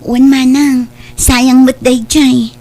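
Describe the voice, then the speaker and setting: A woman's voice: high voice, level tone, slow pace, dark tone, heavily acted. An Ilocano-accented woman playing a patient, ambitious younger sister who trades at a market, in an audio drama. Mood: placating. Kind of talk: Ilocano radio drama dialogue.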